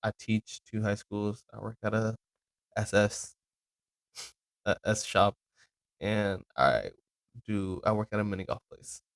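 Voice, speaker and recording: A man's voice: on the low side, unhurried, long pauses, dry recording. A man in his twenties hosting his own podcast, talking loosely about his week with many ums and self-corrections. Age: 20s. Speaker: podcast host. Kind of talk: casual rambling monologue.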